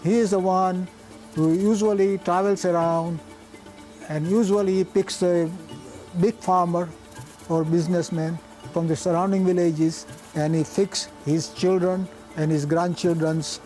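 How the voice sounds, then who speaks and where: An older man with a Gujarati Indian accent, native in Gujarati, looks back on his childhood in an oral history. His voice sits a little high for a man but sounds mellow and soft-edged, and he talks plainly, pausing and restarting as he searches for words. Faint music plays softly behind him. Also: fairly even intonation, poor audio. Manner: halting, plain-spoken, pauses and restarts. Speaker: physician recalling his life.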